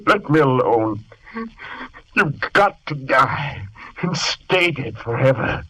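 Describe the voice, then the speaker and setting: A man's voice: medium pitch, very animated, takes it slowly, breathy edge, full character voice, poor audio. An actor playing a tormented Dr. Jekyll, an anguished man who pleads and argues with his darker self in 1940s radio style. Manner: anguished, pleading, shifting to a dark whisper.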